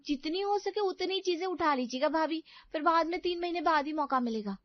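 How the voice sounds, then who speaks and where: A woman's voice: very high-pitched, fairly quick, no echo, muffled recording. A woman voicing a cheeky, scheming younger sister-in-law who talks in a bossy, persuasive, exasperated way. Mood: bossy, impatient.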